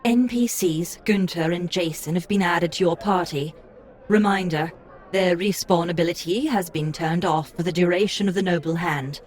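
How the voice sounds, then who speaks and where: Impersonal game announcer, voiced by a woman; low-set, formal. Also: close to an everyday voice.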